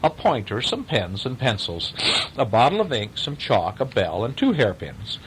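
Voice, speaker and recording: Animated man reading a bedtime story. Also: medium pitch, moderate pace, a little hiss or hum, poor audio. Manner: chatty, questioning asides to a child.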